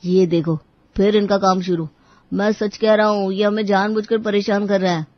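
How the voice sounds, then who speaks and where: A woman's voice: medium pitch, steady tone, moderate pace, dry recording, muffled recording, lightly acted. Woman acting whiny, grumbling young male. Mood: annoyed. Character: grumbling young males of a family.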